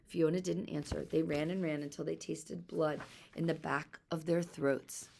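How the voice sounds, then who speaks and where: A woman reads to children with expressive storytelling. Her voice sits on the low side, with a noticeable fry in it. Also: normal speed, a little hiss or hum. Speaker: storybook reader.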